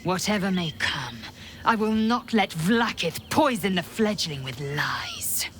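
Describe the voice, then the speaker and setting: An actress playing a stern, proud alien warrior woman in a video game, clipped and commanding, with a breathy, hissed edge and music behind her. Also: fairly low pitch, expressive, takes it slowly, a bit bright. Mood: stern, protective. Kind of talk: video game character acting.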